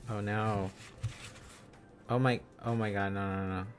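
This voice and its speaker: A man's voice: on the low side, steady tone, unhurried, faint music behind. A man hosting a casual livestream, thinking aloud as he studies, in a rambling, silly, exclaiming manner. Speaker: livestream host.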